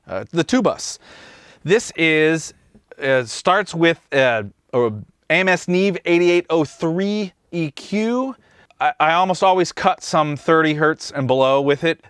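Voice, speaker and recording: A man from Kansas talks through his studio mix in an easygoing, conversational way, like a host showing his own gear. His voice is smooth and clear and sits at an ordinary pitch. The sound is dry and close, with no room echo. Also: a bit bright. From Kansas, USA. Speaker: host, mixing engineer and drummer. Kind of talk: casual studio tutorial. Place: recording studio control room.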